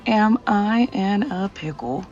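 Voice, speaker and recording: A woman's voice: mid-range voice, animated, slow pace, a little mellow, lo-fi sound, soft background music. A woman playing an earnest, fretful department store manager in a 1940s radio acting style. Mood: uneasy.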